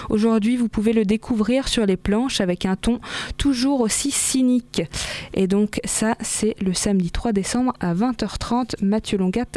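A woman hosting a community radio show, presenting upcoming events in a relaxed way with frequent hesitations. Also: mid-range voice, dry recording. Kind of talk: reading event listings on local radio.

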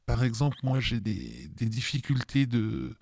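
A man in his fifties gives a spontaneous personal testimony. His voice is soft-edged and mellow, recorded very dry. He hesitates and often repeats words while finding his phrasing. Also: mid-range voice, big pitch swings, measured pace, vocal fry, dry recording. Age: 50s. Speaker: testimony guest with lived experience of depression.

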